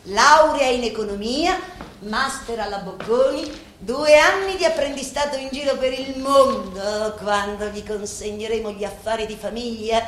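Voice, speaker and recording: A proud, darkly tender Sicilian woman of a crime clan, played by an actress, speaks a stage monologue in a fairly high voice. Her phrases are deliberate and broken by dramatic pauses. The room is echoey, with faint noise behind her. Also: fairly bright tone, natural voice. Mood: proud, wistful. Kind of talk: theatrical monologue in Italian mixed with Sicilian dialect.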